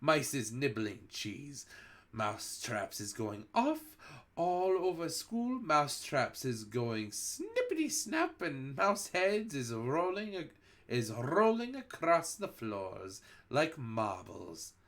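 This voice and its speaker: Reader voicing the shrill gloating Grand High Witch. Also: big pitch swings, takes it slowly, exaggerated voice. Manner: shrill, shouting, singsong chanting. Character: gloating, cruel old witch queen. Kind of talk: storybook read-aloud.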